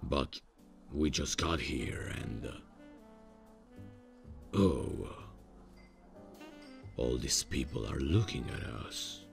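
A man voicing a proud, grumpy demon on an awkward date, deadpan and menacing with hesitant phrasing, in an ASMR roleplay. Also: rumbling low, extremely slow, vocal fry, pauses often, faint music behind, full character voice. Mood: curious, deadpan.

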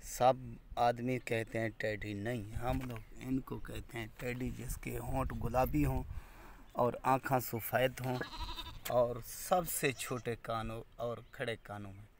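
Slow-talking Pakistani goat farmer outdoors. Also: male voice, fairly even intonation, slight background noise. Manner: slow, warm-hearted salesman's pitch, calls viewers "my dear brother". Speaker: goat farmer selling goats. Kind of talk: casual sales vlog. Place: outdoors on a rural brick-paved farmyard. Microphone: phone camera held by the speaker. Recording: outdoor phone audio with goats bleating.

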